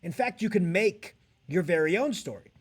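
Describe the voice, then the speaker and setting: A man presenting a step-by-step software tutorial as a trainer, talking over a screen recording. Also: high voice, expressive, moderate pace, husky.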